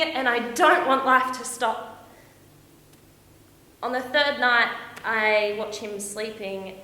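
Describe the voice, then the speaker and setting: Woman playing young female traveller in stage monologue: confiding, expressive, bright mid-range voice, roomy sound. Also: medium pitch. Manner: confiding, expressive, with dramatic pauses. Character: young Australian woman backpacker, dreamy, lovestruck, heartbroken, homesick. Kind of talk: theatrical stage monologue, storytelling to an audience. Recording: echoey room with faint noise.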